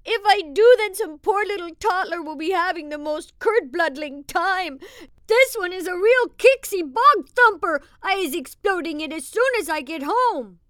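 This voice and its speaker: A woman's voice: very high voice, expressive, normal speed, a bit bright, dry recording, studio quality. A woman voicing a kindly, distressed male giant who exclaims in muddled grammar, in storybook narration style. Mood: fretful, determined. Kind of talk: audiobook character acting.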